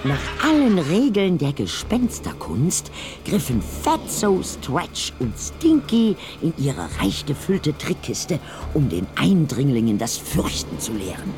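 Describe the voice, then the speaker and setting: A man narrating a scripted audio drama in a dramatic, expressive storytelling style. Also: fairly high pitch, slow pace, over music.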